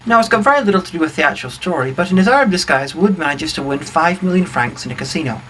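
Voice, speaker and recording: A man's voice: fairly high pitch, lively intonation, fast, fairly bright tone. A man reading a scripted comedic plot recap as co-narrator, in a dry, wry manner. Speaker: co-narrator of a comedy film review. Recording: faint background noise.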